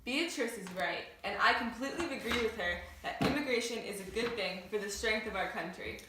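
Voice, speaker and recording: A woman's voice: mid-range voice, fairly quick, lightly acted. A teenage girl playing a formal studio news anchor, reading a script in an amateur newscast. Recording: very echoey room, distant mic, faint noise.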